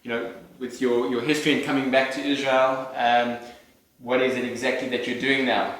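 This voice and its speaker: A man's voice: mid-range voice, little pitch movement, normal speed, fairly bright tone. A male interview host asking questions in a friendly way, often restarting his sentences. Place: studio. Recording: echoey studio with faint music.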